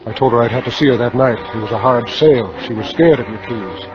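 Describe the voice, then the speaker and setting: A man's voice: mid-range voice, fast, over music, natural voice. An American actor playing a cynical, guilt-ridden salesman turned killer in a 1950s radio drama, clipped and hardboiled. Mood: grim confessing. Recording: dull, noisy 1950s radio recording.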